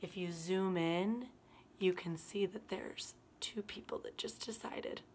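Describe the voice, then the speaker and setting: A woman in her thirties, a kindergarten teacher, reading a picture book aloud to children in an animated, expressive way. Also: mid-range voice, slight background noise. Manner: animated, expressive, drawn-out emphasis on words. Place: small living room by a fireplace, indoors.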